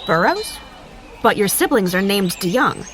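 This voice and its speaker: An actress playing a cautious, probing young hotel worker in an audio drama. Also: female voice, mid-range voice, sing-song, measured pace, fairly bright tone, soft background music. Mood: guarded. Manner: cautious, questioning.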